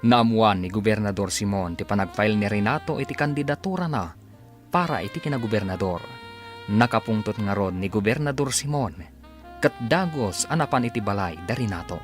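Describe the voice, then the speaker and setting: A man's voice: on the low side, lively intonation, fairly quick. A man with a Filipino accent delivering dramatic scripted narration as a radio drama announcer. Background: background music.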